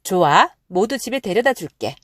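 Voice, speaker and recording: Casting: energetic storybook boy adventurer voiced by a woman, emphatic, loud, very lively intonation. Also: mid-range voice, moderate pace, no room sound, clean studio sound. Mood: cheerful, commanding. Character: bold, energetic young boy hero.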